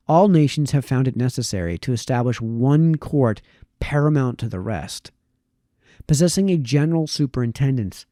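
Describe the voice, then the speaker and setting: Male reader, mid-pitched and soft-edged, measured formal delivery of old prose, small stumbles, very dry close recording. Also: no room sound. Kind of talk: reading aloud a historical political text.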